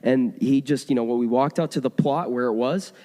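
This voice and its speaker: A hoarse man in his thirties preaches in a church sanctuary, his voice carrying a long hall reverb. He talks in a loose, conversational way, often restarting his sentences as he tells a story. His pitch sits in an ordinary middle range. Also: a little fast, dark tone. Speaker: pastor. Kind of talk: sermon.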